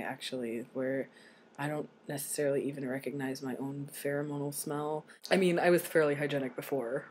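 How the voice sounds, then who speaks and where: A young adult man with a voice slightly deepened early in transition, talking casually and candidly in a personal vlog, with some swearing. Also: darkish tone. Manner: candid, self-deprecating, sweary. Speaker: video blogger. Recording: noisy home recording.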